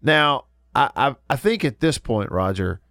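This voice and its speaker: A man's voice: medium pitch, animated, unhurried, a bit bright, no echo. A man hosts a sports talk show on the radio, his voice stuffy and congested from a cold. He keeps it easygoing and chuckles often, restarting his sentences as he goes. The studio sound is dry and close. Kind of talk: casual radio chat.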